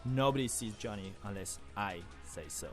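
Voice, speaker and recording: A man's voice: lowish voice, normal speed, close to an everyday voice. An actor playing a tough, intimidating henchman in a video game, speaking curtly. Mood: threatening. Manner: curt, intimidating. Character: tough, threatening male henchman guarding his boss. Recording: music behind the voice.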